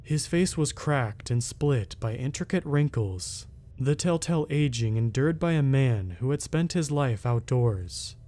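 Mellow-voiced man reading a horror story. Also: medium pitch, animated. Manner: slow, measured, dramatic reading. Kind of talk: scripted first-person horror narration.

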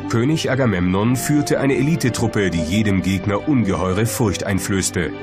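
Documentary narrator, man with a fairly low voice, scripted read over a clear music bed. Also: normal speed.

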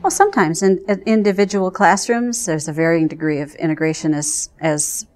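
A middle-aged American woman speaking conversationally in an interview, thoughtful and halting in her phrasing. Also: fairly low pitch, a little mellow, a little hiss or hum. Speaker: arts education program representative. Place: indoors in front of a curtain.

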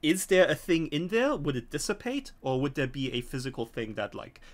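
A middle-aged man narrating a tabletop horror game as game master, deliberate and often pausing, on a home video call. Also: a little high, very animated.

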